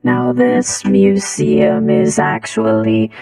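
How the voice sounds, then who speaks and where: A woman's voice: on the high side, steady tone, takes it slowly, creaky, distant mic, over music. A woman telling a casual comic personal story through an autotune voice changer. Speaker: streamer.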